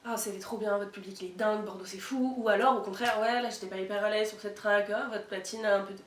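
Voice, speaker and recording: A woman's voice: medium pitch, steady tone, fast, distant mic. Woman chatting casually in an echoey room. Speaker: artist driver for event crew. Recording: echoey room, faint noise.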